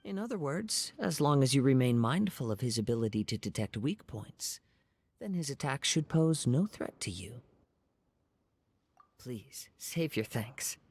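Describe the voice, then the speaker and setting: A man voicing a soft-spoken, contemplative young swordsman in a video game, formal and polite in his measured phrasing. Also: medium pitch, slightly rough, no room sound. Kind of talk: scripted video game character dialogue. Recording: background music.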